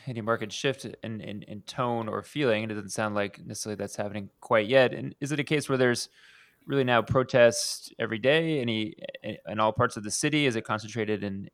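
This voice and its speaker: A man's voice: fairly low pitch, a bit bright, dry recording. A man hosting a podcast, upbeat and asking long questions. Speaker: podcast host. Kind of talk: podcast interview.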